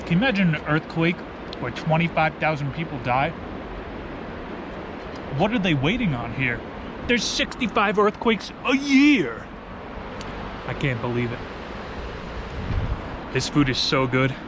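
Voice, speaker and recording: A man's voice: on the high side, sing-song, unhurried, pauses often, background noise. A man from New Jersey with an American accent, chatting and telling stories in a casual, slangy, playful way as a travel vlogger. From New Jersey, United States. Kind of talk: casual vlog chat with storytelling. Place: outdoors, camping.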